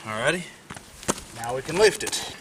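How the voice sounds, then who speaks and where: A man's voice: medium pitch, expressive, normal speed, heavy background noise. A man with a slight head cold, explaining a car repair step by step in a plainspoken, patient way with frequent fillers. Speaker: DIY car repair presenter. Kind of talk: casual how-to walkthrough.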